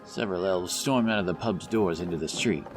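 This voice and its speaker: Very low-voiced male storyteller. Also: a little fast. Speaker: audiobook narrator. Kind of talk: scripted fantasy narration. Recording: narration over loud background music. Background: music, outdoor sound effects.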